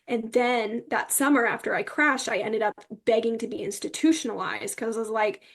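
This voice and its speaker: A woman's voice: on the high side, fairly even intonation, normal speed, creaky. A young woman earnestly and emphatically telling her personal story as an interview guest.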